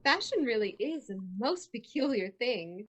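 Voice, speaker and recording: A woman's voice: fairly high pitch, sing-song, a little slow, fairly bright tone, full character voice. A woman playing a haughty, formal fashion-snob former sorority president in a dramatic reading, with a poised, imperious manner. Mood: disdainful.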